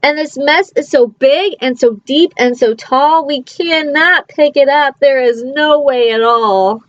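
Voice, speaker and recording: A woman acts out a fretful storybook fish with a very high voice. It is fussy and scolding, emphatic about every worry. The sound is slightly dull and dry. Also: measured pace, dry recording. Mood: worried. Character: anxious, scolding pet fish, fussy and alarmed.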